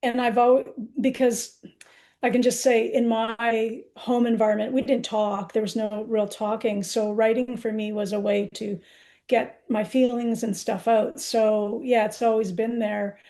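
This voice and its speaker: Woman in her 60s, soft dark tone, gravelly fry, candid, conversational poet guest. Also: on the high side, little pitch movement, unhurried, poor audio. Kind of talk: conversational interview answers.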